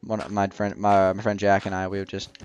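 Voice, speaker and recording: A man's voice: deep voice, completely flat delivery, fast, darkish tone, dull audio, soft background music. A young man casually commenting while building in a video game, rambling and joking with lots of fillers. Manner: rambling, jokey, many fillers. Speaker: gaming channel host.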